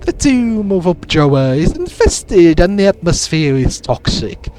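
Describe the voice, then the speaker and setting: A man with a raspy voice plays a stern male soldier commander in clipped, grim reports. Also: high-pitched, sing-song, unhurried, mellow, a little hiss or hum, voice pushed up. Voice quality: raspy from a cold.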